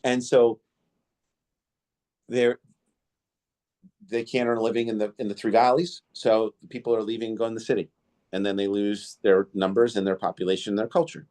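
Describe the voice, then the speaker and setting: Male podcast host with Indo-Greek as first language, slightly low voice, loose, filler-heavy storytelling. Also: level tone, normal speed, pauses often, a little mellow. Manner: rambling, many "you know" fillers, restarts. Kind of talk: casual interview storytelling.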